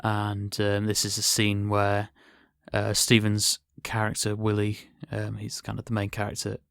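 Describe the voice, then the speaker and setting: A man's voice: lowish voice, monotone, a little slow, gravelly, fairly bright tone, smooth, no room sound, clean studio sound. A man hosting a podcast in casual chat, with a hesitant, understated way of talking. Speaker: podcast host.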